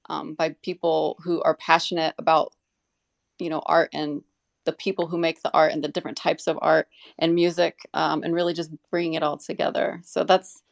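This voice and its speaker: Female panel guest, low gravelly fry, earnest meandering conversational delivery. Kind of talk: casual live audio discussion.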